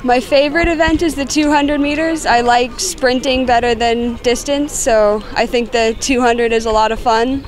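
A woman's voice: on the high side, fairly even intonation, moderate pace, few pauses. Upbeat young American woman. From United States. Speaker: canoe sprint athlete. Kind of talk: short interview answers. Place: outdoors by a regatta course. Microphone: handheld mic.

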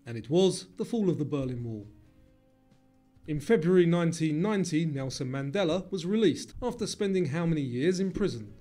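A man's voice: on the high side, lively intonation, moderate pace, mellow, faint music behind. A man presenting a quiz, reading scripted questions and answers.

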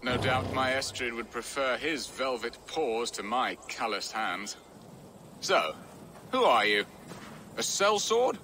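An actor playing a jovial, theatrical middle-aged Saxon lord in a video game, flowery and flippant. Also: male voice, mid-range voice, animated, takes it slowly, pushed and bright, slight background noise. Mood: wry, theatrical. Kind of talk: video game cutscene acting. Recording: echoing reverb with game music behind.

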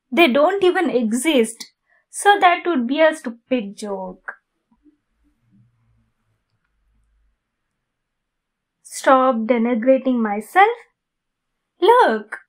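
Woman acting a high-voiced, flustered demon girlfriend. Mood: flustered, defensive. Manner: halting, flustered, intimate delivery. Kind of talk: ASMR roleplay.

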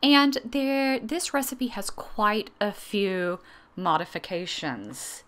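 A woman's voice: mid-range voice, slow, a bit bright. A woman in her thirties hosting a cooking vlog, narrating plainly and then chatting over a tasting at a dining table. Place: dining room in a friend's house.

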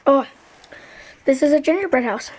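A woman's voice: high-pitched, moderate pace, rough recording. A young woman chatting casually and half-whispering while showing something off to a camera. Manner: soft, half-whispered, laid-back. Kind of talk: casual chat, showing off a gingerbread house.

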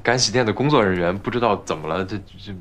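An actor playing a polite young assistant who dodges questions in a slightly nervous, evasive way. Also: male voice, lowish voice, fast talker, a bit bright, a little hiss or hum, natural voice.

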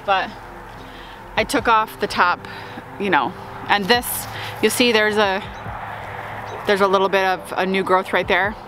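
A woman's voice: mid-range voice, background noise. Chatty woman touring her flower farm outdoors. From Upstate New York. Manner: chatty and upbeat, addresses viewers as guys. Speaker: flower farmer. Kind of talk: casual farm tour vlog. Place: outdoors in open farm field.

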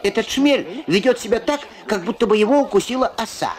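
A woman reading an expressive scripted voice-over for a children's cartoon, on an old film soundtrack. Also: a little high, brisk. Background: clear background music.

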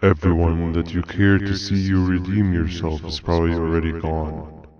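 An actor playing a weary, cynical jellyfish monster in a video game, sounding resigned. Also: male voice, sits low, natural voice. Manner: slow, flat, resigned. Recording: distant, muffled, faint music behind.

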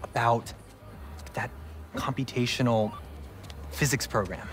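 A man playing a relaxed, friendly student in a video game. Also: medium pitch, unhurried, soft background music, natural voice.

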